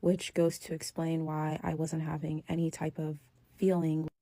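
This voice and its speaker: A woman speaking to camera in a flat, subdued way. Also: low-pitched, normal speed, no room sound. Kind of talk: direct-to-camera vlog.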